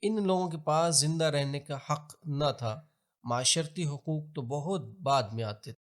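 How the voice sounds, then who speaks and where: A man with a Pakistani accent reads scripted historical narration in a formal, measured, expository manner. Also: fairly high pitch. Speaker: documentary narrator.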